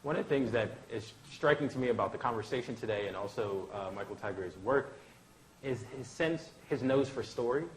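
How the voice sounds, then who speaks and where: A man's voice: mid-range voice, fairly quick, rough recording. A man speaking informally as a professor at a live event, circling back on his thoughts and checking in with "right?". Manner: discursive, self-interrupting, often adds "right?". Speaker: professor, poetry teacher. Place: indoor event room. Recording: faint room noise behind.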